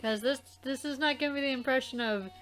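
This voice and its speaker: A woman co-hosting a game let's play, chatting casually and haltingly, often repeating words. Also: high voice, fairly bright tone, soft background music, rough recording. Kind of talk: casual rambling chat.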